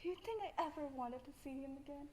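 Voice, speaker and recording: Distraught suspect, played by a young woman: very high, swooping voice, defiant, theatrical stage melodrama. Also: animated, fast, a little mellow. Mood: defensive, distraught. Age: young adult. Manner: dramatic, accusing. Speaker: student stage actor.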